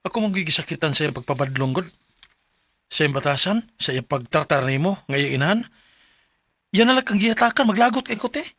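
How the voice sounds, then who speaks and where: A man's voice: on the high side, lively intonation, a little fast, fairly bright tone, no echo. A man acting an exasperated, caring younger brother in a radio drama, with a Cebuano Filipino accent. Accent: Filipino, Cebuano-speaking. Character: exasperated, protective younger brother, hot-tempered but caring.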